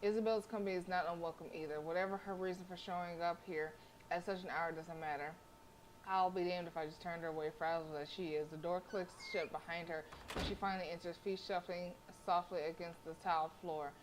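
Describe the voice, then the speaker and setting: A woman's voice: on the low side, fairly even intonation, a little fast, slight background noise, poor audio. A woman livestreamer reading a visual novel aloud dramatically, with casual asides and stumbles she corrects as she goes.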